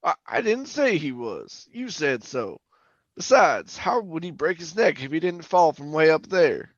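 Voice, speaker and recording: A man's voice: a little high, sing-song, a little slow, no echo, lo-fi sound, full character voice. A man playing a jittery, haunted oil rig worker telling his suspense story in colloquial, stammering old-time radio style. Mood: defensive, nervous. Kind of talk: old-time radio drama narration, first-person suspense.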